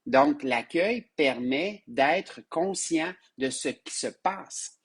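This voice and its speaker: A man with a Québécois French accent gives a live-streamed spiritual lesson, hoarse from a sinus infection, who repeats words and restarts often and calls his listeners his loves. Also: on the high side, animated, slow pace, a bit bright. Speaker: spiritual teacher.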